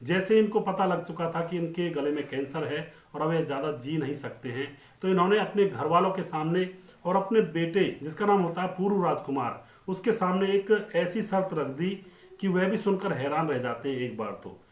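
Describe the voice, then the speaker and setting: Echoey recording, man, slightly high voice, informal storyteller narration, talking straight to viewers, repeats points for emphasis. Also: moderate pace. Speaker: narrator of film-star biography videos. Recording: roomy, echoing voice-over.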